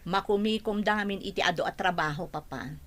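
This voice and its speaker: A woman's voice: medium pitch, a little fast, lightly acted. A woman acting a haughty, unforgiving, well-off young woman in a radio drama. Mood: smug, disdainful.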